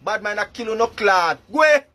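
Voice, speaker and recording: A man's voice: sits high, lively intonation, brisk, sharp tone. A man with a Jamaican accent giving a boastful, provoking ranked rant. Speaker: social media commenter. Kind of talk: brash ranking rant.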